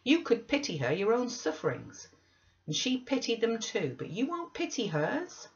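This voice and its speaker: A woman's voice: fairly high pitch, wildly swooping pitch, moderate pace, pitched above the performer's own voice. A woman voicing an emphatic, scolding elderly female servant from a classic novel. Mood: reproachful, pleading. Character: elderly female servant, stern, pleading, indignant storyteller. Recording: slightly dull webcam audio.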